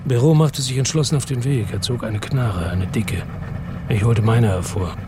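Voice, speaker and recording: An actor playing a weary hard-boiled man who narrates his own crime story in a radio drama, terse, brooding and husky. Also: mid-range voice, normal speed, noisy background, natural voice. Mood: grim, focused.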